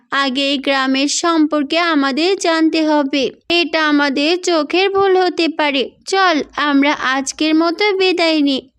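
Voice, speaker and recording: A woman's voice: sits high, a bit bright. A woman voicing a sensible, kind-hearted young village girl in a cartoon fairy tale, speaking earnestly.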